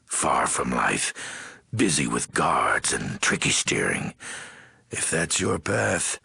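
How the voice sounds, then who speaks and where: An actor voicing a menacing, weary ghoul overlord in a video game, raspy and gravelly, speaking in terse, commanding threats with odd broken syntax. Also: male voice, a bass voice, pushed and bright, no room sound, full character voice. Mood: scheming, threatening. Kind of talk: video game character voice acting.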